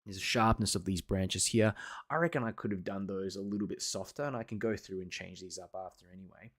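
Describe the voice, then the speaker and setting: Male live workshop host: lowish pitch, informal, encouraging, hesitant delivery with many pauses. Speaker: watercolour teacher hosting a live workshop. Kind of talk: casual livestream chat reading and answering viewer comments.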